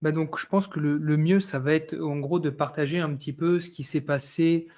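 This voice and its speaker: A man in his thirties from France, a guest sharing his life story in a live online talk, speaking with many pauses and restarts. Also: fairly high pitch, fairly even intonation, a little mellow. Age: 30s. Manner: hesitant, many fillers and restarts.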